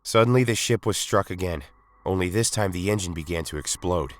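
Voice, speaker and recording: A man's voice: low-pitched, fairly quick, no echo. A man reading a sci-fi adventure story as dramatic scripted narration. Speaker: story reader.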